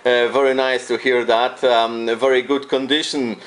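A man's voice: mid-range voice, little pitch movement, normal speed. Polish-accented man, hesitant radio operator. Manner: hesitant, repeats phrases; friendly, polite. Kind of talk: casual amateur radio contact.

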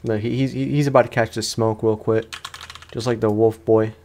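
A man's voice: lowish voice, level tone, moderate pace, mellow, slight background noise. A man doing casual let's play commentary, talking in an informal, slangy way and often repeating his phrases. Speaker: gaming streamer.

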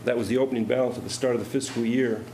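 A man's voice: mid-range voice, steady tone, very fast, warm tone, a little hiss or hum, poor audio. A middle-aged man alderman questioning budget figures with skeptical, pointed challenges during a city council debate, speaking into a desk microphone.

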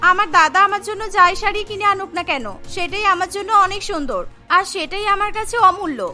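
Woman playing a meek, high-voiced young daughter-in-law. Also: quick pace, bright, forceful tone, faint music behind, full character voice. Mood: hurt, firm. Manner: pleading, sincere.

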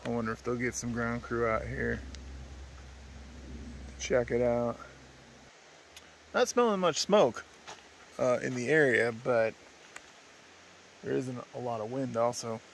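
A man's voice: medium pitch, a little slow, gravelly, pauses often. Matter-of-fact man vlogging outdoors, faint background noise. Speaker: travel vlogger. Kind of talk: casual narration to camera. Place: outdoors at a forest campsite. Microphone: handheld phone at arm's length. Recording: steady outdoor ambient noise.

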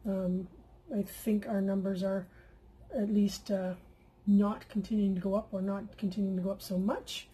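A woman's voice: mid-range voice, normal speed, breathy edge, poor audio. A woman hosting a paint-pouring channel, chatting casually at home as she works at her craft table. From Canada. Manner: chatty and relaxed, with fillers and asides. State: feels she is losing her voice. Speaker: acrylic pouring art channel host. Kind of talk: casual tutorial chat while painting.

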